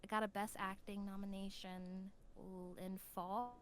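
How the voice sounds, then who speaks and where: A young woman talks as a guest on a podcast in a casual, slangy style. She has a mid-pitched voice and tells things in an animated way. There is audible noise behind her. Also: measured pace. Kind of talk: casual chat. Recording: clear background noise.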